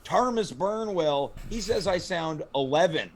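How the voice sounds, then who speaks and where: A man with a hoarse, worn voice hosting a comedic home show, talking in a theatrical, playfully boastful way. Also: high voice, expressive, a little slow. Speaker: host. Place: home studio.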